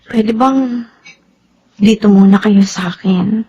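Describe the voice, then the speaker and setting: A woman with a Filipino accent playing an anxious, fragile young soldier's wife in a radio drama, breathy, husky and pleading. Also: mid-range voice, slow pace, dark tone, highs cut off, heavily acted. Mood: worried. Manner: emotional, pleading.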